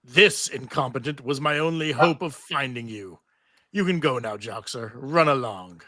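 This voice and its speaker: Man reading tormented, bitter cursed bard, bright-voiced. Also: medium pitch, animated, moderate pace, no echo, clean studio sound. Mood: dismissive. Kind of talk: live comedic table read of a TV script.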